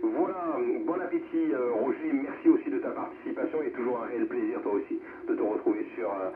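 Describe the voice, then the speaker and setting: Un homme qui anime un réseau radioamateur de façon décontractée et courtoise, en cherchant parfois ses mots. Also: male voice, mid-range voice, brisk. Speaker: amateur radio net controller. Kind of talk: casual amateur radio net hosting. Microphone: heard through a radio receiver speaker. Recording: narrowband radio with noise and reverberation.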